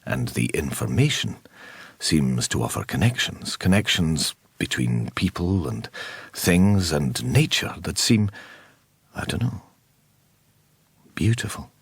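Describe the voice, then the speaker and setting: Brooding psychic, very husky, slow, intimate close dry sound, radio monologue. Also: male voice, very deep voice, very animated, no room sound, full character voice. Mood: brooding, reflective. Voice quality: husky, breathy. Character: brooding psychic man narrating his inner thoughts.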